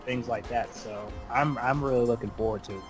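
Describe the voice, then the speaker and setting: A man's voice: mid-range voice, a little fast. Male podcast host with music behind. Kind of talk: casual roundtable podcast talk.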